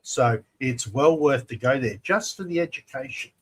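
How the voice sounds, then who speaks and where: A man's voice: mid-range voice, normal speed, husky. An Australian man co-hosting a casual panel chat, emphatic and repeating his key figures. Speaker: show co-host.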